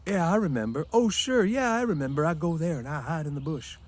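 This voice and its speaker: Simple-minded big farmhand voiced by a male reader, high plaintive tone, childlike, defensive, rural grammar, audiobook style. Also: animated, fairly quick. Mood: anxious, eager.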